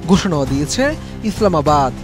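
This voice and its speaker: Bangladeshi guy doing a news report voiceover, pretty high voice, music behind him. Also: a little fast. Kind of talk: scripted news report voice-over. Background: background music.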